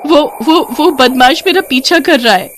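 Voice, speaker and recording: A woman's voice: sits high, moderate pace, noisy background, voice pushed up, close to an everyday voice. A woman voicing a coy, deceitful young witch bride in a dramatised story, plaintive and coaxing. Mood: tearful pleading. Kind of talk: dramatised Hindi moral story.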